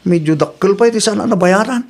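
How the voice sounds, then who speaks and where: A man's voice: sits high, fast talker. A man with an Ilocano accent playing an easygoing, cheerful husband in an audio drama.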